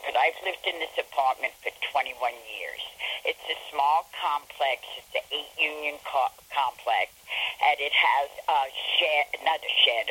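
An older woman talks over a tinny phone line, loud and rambling, with frequent restarts. Her low voice is husky but bright, and it swoops up and down. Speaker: call-in guest. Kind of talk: casual phone conversation. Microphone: telephone.

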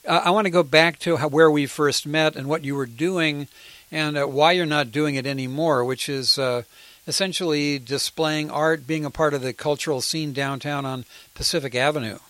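A man's voice: on the high side, normal speed, no echo. Man hosting a community radio show. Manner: relaxed, meandering with fillers. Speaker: radio host. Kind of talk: radio interview.

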